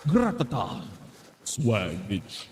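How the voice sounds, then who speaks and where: Guy streaming a shooter, slangy and playful, pitch jumps around, bit of noise. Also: huge pitch swings, extremely slow, darkish tone. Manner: slangy, playful, breaks into song. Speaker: game streamer. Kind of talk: casual live gameplay commentary mixed with singing along.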